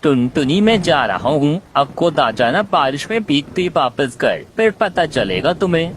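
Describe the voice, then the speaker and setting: A man voicing a selfish, callous crow in an animated moral story. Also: fairly high pitch, normal speed, slight background noise. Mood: mocking. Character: selfish, callous male crow who steals food.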